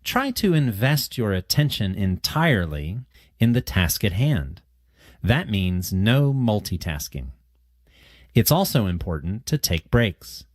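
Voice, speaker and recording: A man with a lowish voice reads scripted passages at a very slow pace. His delivery is careful and measured, with plenty of rise and fall in the pitch. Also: sing-song, no echo, clean studio sound. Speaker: narrator of test practice passages. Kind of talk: scripted read-aloud narration.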